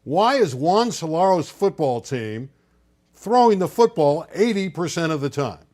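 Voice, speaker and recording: Upbeat sports show host, higher voice. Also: male voice, big pitch swings, a little slow, a little mellow. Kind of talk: sports talk interview.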